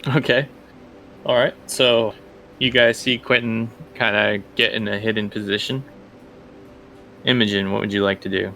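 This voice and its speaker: Guy gming a tabletop game, kinda deep and croaky, thinks out loud, slight noise behind him. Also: steady tone, normal speed, long pauses. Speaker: guest game master. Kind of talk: casual improvised tabletop game narration.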